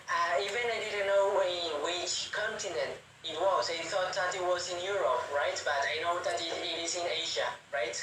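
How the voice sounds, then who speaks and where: A man's voice: high voice, moderate pace, hardly any pauses, sharp tone, reverberant, slight background noise, rough recording. A man, chatting on a video call, hesitant and searching for his words. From Colombia. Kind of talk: casual conversation practice.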